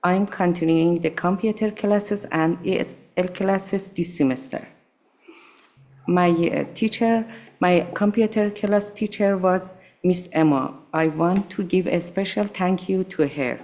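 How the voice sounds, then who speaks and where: A woman whose first language is Farsi, reading a prepared thank-you speech at a school board meeting with careful, measured delivery. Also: lowish voice, a little mellow. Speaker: adult school student speaker. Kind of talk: prepared speech read aloud.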